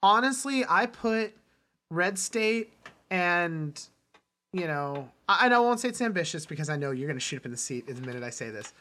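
A man hosting a casual film discussion, halting and often interrupting himself as he talks. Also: high voice, sing-song, bright, forceful tone. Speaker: podcast host.

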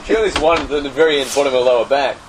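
A man's voice: medium pitch, very fast. A man telling casual, informal anecdotes among friends. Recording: very noisy group field recording.

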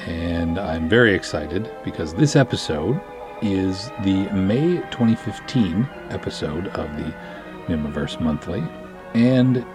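An American man hosting a podcast, promoting to his listeners in an emphatic, deliberate, tongue-in-cheek way. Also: lowish voice. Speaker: podcast host, film writer-director. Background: music.

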